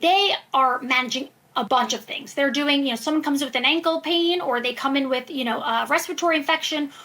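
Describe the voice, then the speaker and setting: Female medical expert for podcast interview: very high, forceful bright voice, emphatic and reassuring explainer. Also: a little fast. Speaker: guest expert, preventive cardiologist. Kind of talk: conversational podcast interview answers.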